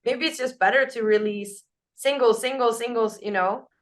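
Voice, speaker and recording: A woman singer with a naturally raspy voice, answering interview questions in a loose, candid way, full of "like" and "you know". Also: on the high side, normal speed. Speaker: band singer.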